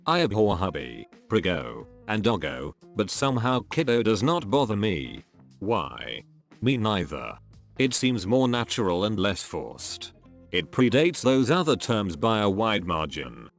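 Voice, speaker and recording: A man narrating a series of online posts in a steady read-aloud style. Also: lowish voice. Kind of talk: scripted narration.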